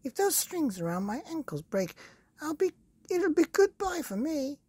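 A woman voicing a silly old wife, high-pitched, breathy and anxious, in a storybook reading. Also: very animated, normal speed, a little mellow, no room sound.

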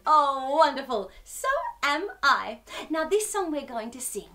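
Children's storytime host: woman, 30s, very lively intonation, slow pace, addressing young kids with expressive sing-song delivery. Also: high voice. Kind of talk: read-aloud storytelling and chatty children's presenting. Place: small furnished living room, indoors.

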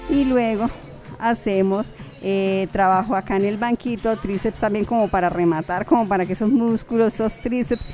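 A woman's voice: medium pitch, moderate pace. Woman fitness instructor over background music. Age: young adult. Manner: encouraging, chatty, fond of diminutives.

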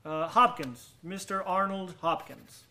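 An actor in a radio drama playing an over-polite, nervous murderer with a genteel, halting way of talking. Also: male voice, sits high, bright, forceful tone, heavily acted. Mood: nervous politeness. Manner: genteel, overly courteous, halting. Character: nervous, over-polite male murderer hiding guilt from police.

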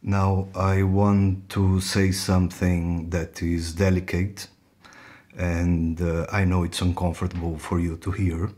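A middle-aged man from Brazil speaks to his class as their lecturer. His voice sits low, and he talks very slowly and deliberately, often halting between phrases. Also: level tone, mellow. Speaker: university lecturer. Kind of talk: personal introduction to a class. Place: furnished room indoors, sitting.

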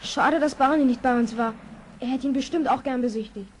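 A high-voiced female performer playing a curious, observant child in a German children's audio drama, eager and earnest. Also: fairly quick, lightly acted. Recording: vintage radio play, faint background noise.

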